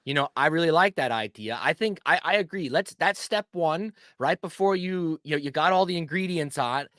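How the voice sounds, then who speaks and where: Man from Pennsylvania, host, lively swinging pitch, playful chat. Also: normal speed, no room sound, clean studio sound. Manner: animated, playful questioning. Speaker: channel host. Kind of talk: casual chat.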